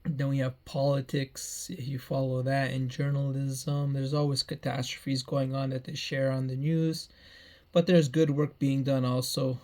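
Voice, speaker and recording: A man's voice: medium pitch, level tone, measured pace, warm tone. A man in his early thirties giving a meandering personal life update, often repeating himself and restarting phrases. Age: 30s. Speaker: vlogger.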